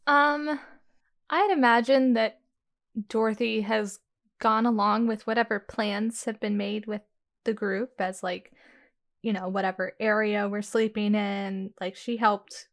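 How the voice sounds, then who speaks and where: A woman's voice: mid-range voice, level tone, slow. Female player, casual and chatty, mid-range voice. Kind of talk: casual chat while playing a tabletop game.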